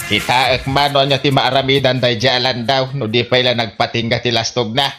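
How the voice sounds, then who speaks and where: A man's voice: mid-range voice, flat delivery, a little fast, loud music behind the voice, close to an everyday voice. A man with an Ilocano Filipino accent playing a disapproving, scheming older villager in a comedy radio drama.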